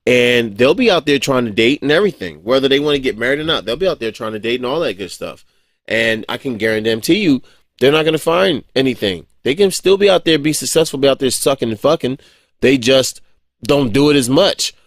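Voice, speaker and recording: A man's voice: mid-range voice, animated, brisk, dry recording, studio quality. Blunt, profane man in his forties. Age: 40s. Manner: blunt, profane, frequent chuckles and restarts. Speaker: vlogger. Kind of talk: casual rambling monologue.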